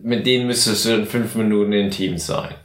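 A man's voice: on the low side, steady tone, brisk, reverberant, a little hiss or hum. A tipsy man co-hosting a casual podcast, rambling along with mock-formal phrasing. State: tipsy from mixed drinks. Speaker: podcast host. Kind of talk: casual, bantering podcast chat.

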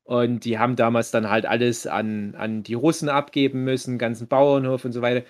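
A man's voice: mid-range voice, flat delivery, brisk. Reflective German man, mid-range voice. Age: 30s. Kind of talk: casual reminiscing chat.

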